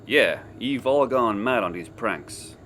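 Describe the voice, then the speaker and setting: A man's voice: on the low side, animated, slow, a bit bright, a little hiss or hum. An actor playing a sensible, dry and often exasperated crewman in an audio sitcom. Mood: weary, exasperated. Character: sensible, weary male spaceship crewman.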